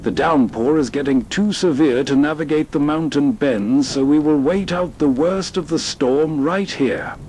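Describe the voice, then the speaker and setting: A man's voice: fairly high pitch, a little mellow, a little hiss or hum, low-quality recording. A man hosting with atmospheric scripted narration, in a florid, inviting storyteller's way. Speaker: host and narrator.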